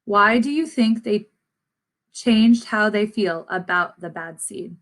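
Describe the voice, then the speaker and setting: Yavaş tempolu, öğretici konuşan bir kadın. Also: female voice, medium pitch, takes it slowly, fairly bright tone. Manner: instructive, addresses listeners as friends. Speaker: teacher reading aloud to children. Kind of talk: read-aloud story and lesson questions for children.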